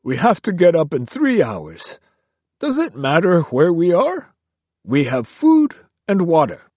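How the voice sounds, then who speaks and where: A man's voice: a little high, wildly swooping pitch, unhurried, a little mellow, slightly rough, no echo, pitched above the performer's own voice, heavily acted. A man voicing a pious male monk who answers tersely and dismissively.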